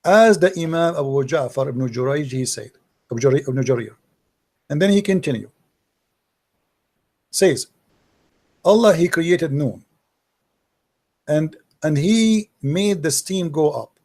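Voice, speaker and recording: Brief: male debate host, warm low-key tone, lecturing a caller. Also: animated, unhurried, pauses often. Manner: questioning, explains patiently, reads passages aloud. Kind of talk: live call-in debate, reading texts aloud.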